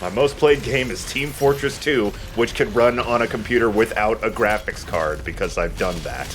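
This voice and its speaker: A man's voice: fairly low pitch, normal speed, a bit bright, faint music behind. A man chatting casually as he commentates on his gameplay, in an informal, self-deprecating way. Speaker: let's player playing and commentating. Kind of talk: casual gaming commentary chat.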